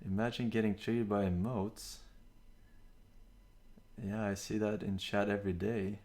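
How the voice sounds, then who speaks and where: Relaxed low-voiced male streamer. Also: unhurried. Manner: relaxed, rambling, with fillers and some swearing. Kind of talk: casual stream chat reacting and reading chat.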